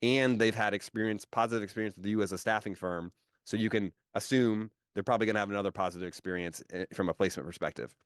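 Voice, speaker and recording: A man hosts in a relaxed, conversational way, leaning on "kind of" and tag questions. His voice sits fairly low. The sound is close and dry. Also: fast talker, smooth, no echo. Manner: conversational, with frequent "kind of" and "right?" checks. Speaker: host. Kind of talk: business podcast interview.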